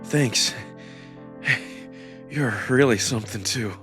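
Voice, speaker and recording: Male narrator, medium register, for first-person visual novel drama. Expressive, emotive read with music underneath. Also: mid-range voice, slow pace. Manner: expressive, acted delivery with emotional emphasis. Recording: music behind the voice.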